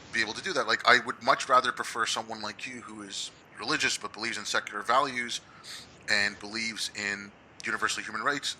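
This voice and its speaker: A man's voice: on the low side, pushed and bright, slight background noise, low-quality recording. A young man hosting a podcast, talking casually and peppering his speech with "like.". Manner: casual, says "like" and "yo" a lot. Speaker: podcast host.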